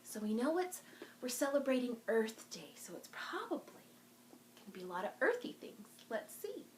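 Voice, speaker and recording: A woman's voice: on the high side, big pitch swings, moderate pace, a little mellow, slight background noise. A woman speaking to young children as a storytime host, in a sing-song, encouraging way, showing and explaining things. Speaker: children's librarian hosting a virtual storytime. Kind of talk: talking to young children in a craft and show-and-tell video.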